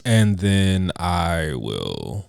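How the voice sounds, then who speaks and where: Man, Southern accent, laid-back podcast chat, quiet asides, dry sound. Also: low-pitched, wildly swooping pitch, extremely slow, vocal fry, clear, resonant tone, dry recording. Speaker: podcast co-host. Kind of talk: casual chat.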